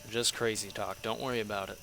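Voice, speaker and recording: A man's voice: fairly low pitch, little pitch movement, fast talker, pushed and bright, soft background music. A man playing a sardonic, defiant soldier in a fan audio drama, with a dry, terse, sarcastic delivery. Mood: guarded, sarcastic. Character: sardonic, defiant male soldier, a shaman's son.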